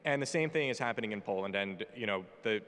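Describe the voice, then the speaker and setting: A man's voice: on the low side, animated, fast, a bit bright. Brash young man on a hall stage. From United States. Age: 20s. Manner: brash, joking, opinionated. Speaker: panelist. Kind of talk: conference panel talk. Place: large conference hall stage. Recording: reverberant hall.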